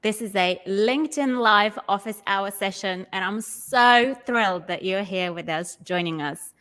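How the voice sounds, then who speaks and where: An upbeat woman hosts a live online session and welcomes her guest. Her voice sits at an ordinary pitch for a woman, and she fills her pauses with frequent ums. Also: fairly bright tone. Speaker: host, business change coach. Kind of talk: live online interview hosting.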